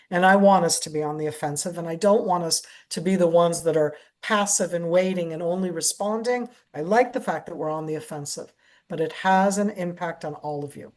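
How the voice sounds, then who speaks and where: A woman's voice: medium pitch, darkish tone. A middle-aged American woman, a security analyst, answering a question in an online briefing with earnest emphasis and frequent restarts. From United States.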